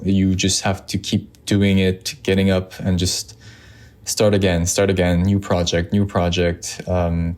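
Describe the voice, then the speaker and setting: Guy from montreal, quebec french accent, french is his first language, really low voice, lots of ums and restarts while he thinks out loud. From Montreal, Canada. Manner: loose and musing, many ums and restarts. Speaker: vlogger. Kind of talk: reflective casual talk to camera.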